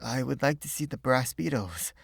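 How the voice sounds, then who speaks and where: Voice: medium pitch, lively intonation, normal speed, creaky, breathy edge, no room sound, studio quality. A reader voicing a cheerful schoolboy in a children's story. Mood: plain. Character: schoolboy, cheerful but embarrassed. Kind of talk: storybook read-aloud.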